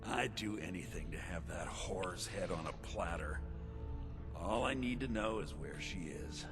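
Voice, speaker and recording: A man's voice: sits low, measured pace, over music. An actor playing a spoiled, lecherous young rich man, a glib and entitled schemer sitting in jail, in a fantasy video game. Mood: bitter, indignant. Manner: glib, entitled, self-pitying.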